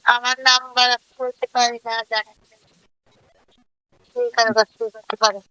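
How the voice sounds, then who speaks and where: A woman's voice: on the high side, level tone, normal speed, bright, forceful tone, clean tone, no room sound. A woman with a Bangladeshi accent, chatting casually on a phone call. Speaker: prank call recipient.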